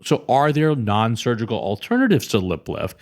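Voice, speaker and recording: A man's voice: mid-range voice, big pitch swings, moderate pace. An American man speaking as a doctor and podcast host, explaining in a conversational, emphatic way and addressing the listener directly. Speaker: plastic surgeon hosting a podcast. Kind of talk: conversational patient-education explainer.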